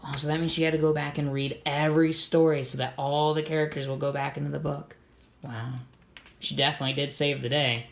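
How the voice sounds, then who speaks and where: An expressive storybook reader doing an animated read-aloud for children, explaining and asking questions along the way. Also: medium pitch, a little fast.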